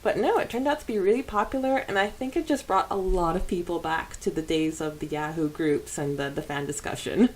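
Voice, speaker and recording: A woman's voice: lowish voice, fairly quick, hardly any pauses, slight background noise, rough recording. A woman co-hosting a casual fan podcast, chatty and often laughing as she talks. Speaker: podcast co-host.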